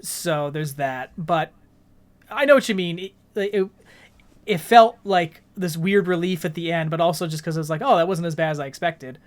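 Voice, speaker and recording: A man with a fairly high voice talks as a podcast co-host. He explains things earnestly, in the loose conversational style of a casual gaming discussion. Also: normal speed.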